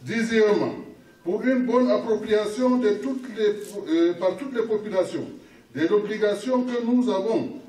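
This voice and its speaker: A man with a Cameroonian accent solemnly reading a formal public declaration in short, measured phrases, as an official would. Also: high-pitched, dark tone. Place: municipal hall at a public meeting. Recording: echoey hall, distant microphone.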